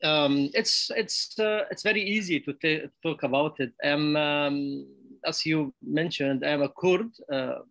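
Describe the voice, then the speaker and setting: A middle-aged man from Syria, a film director answering festival questions over a video call, halting and restarting often. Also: fairly high pitch, vocal fry, a bit bright, no room sound. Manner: halting, many restarts and repeated words. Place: bright room with plants.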